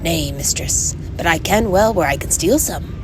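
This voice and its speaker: A woman's voice: lowish voice, lively intonation, normal speed, over music, lightly acted, low-quality recording. A woman voicing a tiny male pixie aviator in a storybook, polite and deferential, with a Scottish accent. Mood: polite, matter-of-fact.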